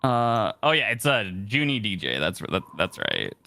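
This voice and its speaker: A man's voice: lowish voice, wildly swooping pitch, normal speed, vocal fry, bright, forceful tone. A man chatting casually as a co-commentator on a gaming livestream. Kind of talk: casual chat. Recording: game music behind the voice. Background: video game music.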